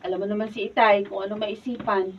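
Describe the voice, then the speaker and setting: A woman's voice: medium pitch, flat delivery, fast, natural voice. A woman acting a bubbly, confiding young daughter in a Filipino radio drama, exasperated by her father. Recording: distant, dull studio camera-mic recording.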